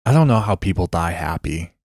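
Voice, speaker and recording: A man's voice: deep voice, animated, brisk, a little mellow, no room sound, studio quality. A man co-hosting a podcast in casual chat, joking and offhand, swearing casually. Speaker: podcast co-host.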